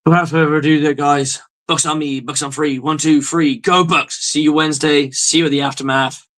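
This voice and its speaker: A male host with a hoarse voice, giving a blunt, profane, rambling fan's reaction in a casual sports monologue. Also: fairly high pitch, little pitch movement, normal speed, a bit bright.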